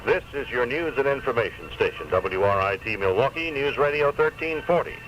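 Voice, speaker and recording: A man's voice: medium pitch, lively intonation, a little fast. A man reading scripted news and station announcements on 1970s radio. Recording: lo-fi 1976 AM radio with music.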